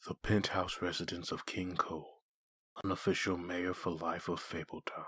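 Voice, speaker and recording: A man playing a hushed caption narrator in a comic dub, husky and flat, reading scripted scene-setting lines. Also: low voice, normal speed, no room sound.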